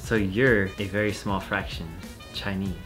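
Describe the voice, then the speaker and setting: A man's voice: on the low side, level tone, normal speed, a bit bright, soft background music. A man chatting casually as a vlog co-host in a laid-back, joking way. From half Japanese, half German family. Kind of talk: casual chat.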